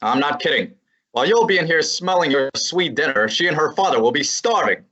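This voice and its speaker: A cocky young assistant needles his boss and narrates the story in an old-time radio drama, as a performer plays him. His mid-range voice is a little husky and breezy. The recording sounds somewhat dull and lo-fi. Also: mid-range voice, lively intonation, brisk, fairly bright tone. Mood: needling. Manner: brash, teasing, breezy.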